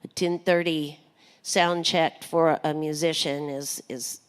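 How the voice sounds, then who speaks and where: A woman's voice: low voice, steady tone, takes it slowly, clean tone. A woman hosting a live radio show, joking and folksy with plenty of fillers.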